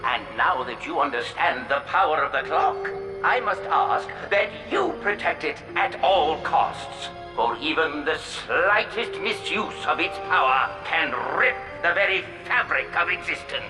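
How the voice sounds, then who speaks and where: An actor playing a wise, fatherly inventor in a video game, speaking gravely and formally in an echoey hall. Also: a little high, animated, measured pace. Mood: solemn, fatherly. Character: solemn, wise fatherly male inventor leaving a message. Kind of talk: video game cutscene monologue. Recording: echoey hall, music behind.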